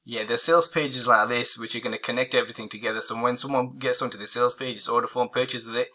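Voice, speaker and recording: A man walking viewers through a software tutorial, hoarse and losing his voice, rambling with many fillers and restarts. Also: medium pitch, steady tone, fast talker. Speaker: online marketing tutor. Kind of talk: casual screen-recorded tutorial.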